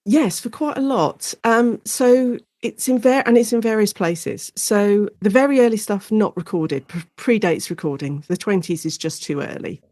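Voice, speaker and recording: A British woman, an academic guest on a podcast, explaining her subject in an informal, animated and conversational way. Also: medium pitch, moderate pace, few pauses, no room sound, studio quality. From United Kingdom. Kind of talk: conversational expert interview.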